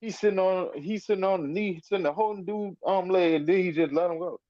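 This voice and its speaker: A man's voice: high voice, fast, no echo, muffled recording. A man in casual, salty sports fan chat, speaking emphatically and repeating himself to make his point. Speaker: sports talk panelist.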